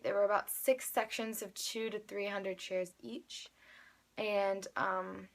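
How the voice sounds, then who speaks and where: A woman's voice: medium pitch, a bit bright. An eighteen-year-old woman with an American accent, telling a personal story casually in a chatty, rambling way full of fillers. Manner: chatty, rambling, youthful filler-laden speech. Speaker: vlogger, amateur singer.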